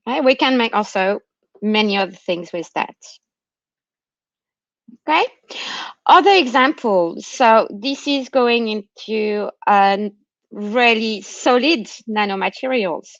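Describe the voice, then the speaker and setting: A woman's voice: mid-range voice, expressive, slow pace, pauses often, a bit bright, no echo. A woman researcher with a non-Australian accent giving an explanatory academic talk online, often checking understanding with short tags. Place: remote online talk during lockdown. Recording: muffled lo-fi online call audio.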